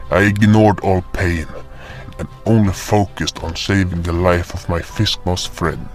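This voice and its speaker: A man playing a remorseful furred frostborn creature, narrating his own fantasy tale in a solemn, dramatic manner. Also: low voice. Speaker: narrator and voice actor. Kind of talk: first-person dramatic fantasy narration in character. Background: music.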